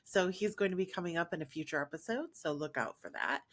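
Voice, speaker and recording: A woman podcast host delivering scripted narration in an earnest way, with short dramatic phrases and emphatic pauses. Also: lowish voice, expressive, a bit bright.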